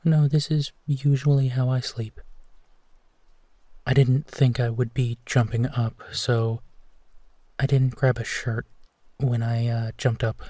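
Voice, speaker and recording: Male actor as tender protective werewolf boyfriend for comfort audio; unhurried, mellow, close and dry. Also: medium pitch, level tone, dry recording. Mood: tender, willing. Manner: soft, soothing, hesitant pauses, often drops to whispering. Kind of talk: intimate roleplay audio, sleep-aid.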